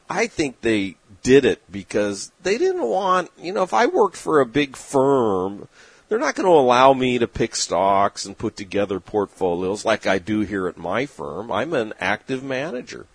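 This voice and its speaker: A middle-aged man, an investment manager, giving plain-spoken, opinionated market commentary with folksy turns of phrase and frequent pauses. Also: medium pitch, big pitch swings, slightly rough, no room sound. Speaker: investment manager and newsletter writer. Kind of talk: direct-to-camera market commentary.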